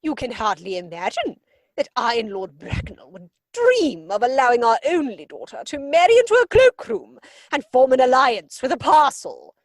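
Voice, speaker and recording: An imperious older aristocratic lady in a stage comedy. Her voice is high and slightly husky, rising and dropping dramatically as she pronounces her snobbish disapproval with pompous emphasis. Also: big pitch swings, moderate pace, no echo, full character voice. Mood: indignant. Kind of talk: theatrical comedy dramatic reading. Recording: dry home video-call recording.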